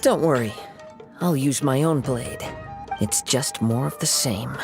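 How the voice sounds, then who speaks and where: An actor playing a composed young wandering swordsman in a video game, measured, formal and quietly stern. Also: mid-range voice, creaky, over music. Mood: calm.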